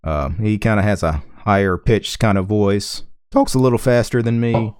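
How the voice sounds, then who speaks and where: A man's voice: fairly low pitch, a little mellow, smooth, no room sound, studio quality. A man with a Southern US accent hosting a livestream, chatting casually and unhurriedly with a caller, peppering his talk with "yeah". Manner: laid-back, drawn-out, frequent "yeah"s. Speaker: livestream host. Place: small home room full of computer gear.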